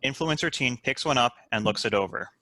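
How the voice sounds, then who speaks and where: A man's voice: medium pitch, expressive, brisk, pushed and bright, no room sound, poor audio. A man reading out stage directions as scripted narration for a screenplay table read.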